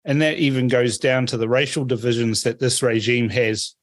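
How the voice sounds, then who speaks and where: A man's voice: mid-range voice, fairly even intonation, normal speed, dry recording. A man from Fiji hosting a political interview, putting long, opinionated questions to his guest. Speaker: podcast host.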